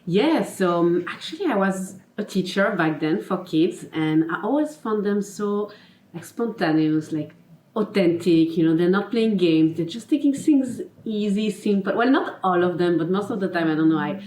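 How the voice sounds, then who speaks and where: A woman's voice: lowish voice, expressive, normal speed, darkish tone, echoey room, low-quality recording. A woman from Geneva with a French accent, a confidence and life coach chatting casually as an interview guest, laughing often and filling with "you know" and "like". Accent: French-speaking Swiss. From Geneva, Switzerland.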